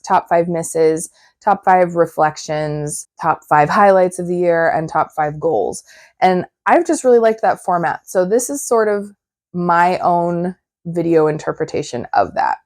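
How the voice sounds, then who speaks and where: A woman hosting her own crafting channel, chatting casually to camera while her voice is still hoarse and a bit froggy. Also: on the low side, no room sound, clean studio sound. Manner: chatty and upbeat. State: hoarse, recovering voice. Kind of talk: casual vlog talk to camera.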